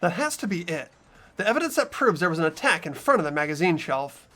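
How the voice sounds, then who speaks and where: Player as an earnest young sleuth, animated pitch. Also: fast. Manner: earnest, reasoning aloud. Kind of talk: fan voice acting of a game.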